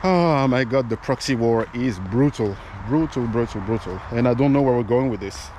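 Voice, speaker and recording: A man's voice: mid-range voice, normal speed, a little mellow, a little hiss or hum, low-quality recording. A man giving casual political commentary as host, with many fillers and restarts, speaking English as a non-native speaker. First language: not English. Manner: conversational, frequent fillers and restarts.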